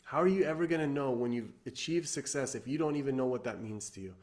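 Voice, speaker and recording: A man's voice: medium pitch, fast talker, darkish tone. A man in his thirties, from Iran, giving an earnest motivational talk to camera from his living room, repeating himself and restarting often. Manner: earnest, repetitive, many fillers and restarts. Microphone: webcam or laptop camera at desk.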